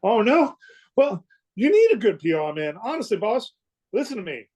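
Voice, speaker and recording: A man's voice: sits high, sing-song, moderate pace, darkish tone, breathy edge, exaggerated voice. A man playing an earnest, insistent airplane mechanic in a radio play, with a stumbling delivery. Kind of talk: old-time radio play acting.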